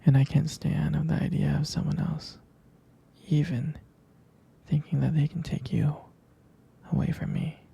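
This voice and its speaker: A man playing a tender, jealous, devoted boyfriend in an intimate ASMR roleplay, speaking haltingly in a breathy, husky whisper. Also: fairly high pitch, flat delivery, mellow, heavily acted. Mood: possessive, tender. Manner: hesitant, intimate, halting delivery.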